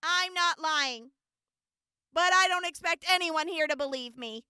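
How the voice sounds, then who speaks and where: Woman acting a gentle earnest wasteland medic girl on the stand, high bright voice, big pauses, radio drama. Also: no room sound, clean studio sound, full character voice. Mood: steady, defensive. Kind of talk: audio drama courtroom testimony.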